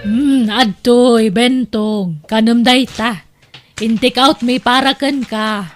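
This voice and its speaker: A woman's voice: a little high, fairly even intonation, slow pace, soft background music. A woman playing a sly, scolding aunt in an audio drama, with an Ilocano accent. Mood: offhand.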